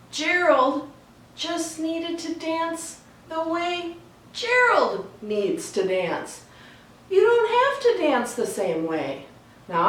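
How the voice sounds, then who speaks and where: A woman reading aloud for a children's storytime in a slow, deliberate, expressive way. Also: on the high side. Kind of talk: read-aloud storytime with chatty asides. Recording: echoey room, distant mic, faint noise.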